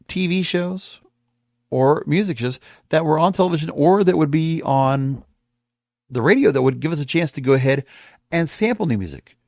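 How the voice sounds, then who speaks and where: Male podcast host, opinionated and rambling, very lively intonation, close dry sound. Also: on the high side, dry recording. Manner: emphatic, rambling, repeats himself. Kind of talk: opinionated solo podcast commentary.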